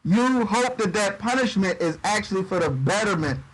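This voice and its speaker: A man giving livestreamed Bible teaching in a relaxed preaching style, reading scripture aloud and riffing on it, often repeating words as he goes. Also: sits high, normal speed, poor audio. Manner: conversational preaching, reads scripture aloud and riffs on it; uses Southern-style 'y'all', stumbles and repeats words. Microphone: livestream microphone.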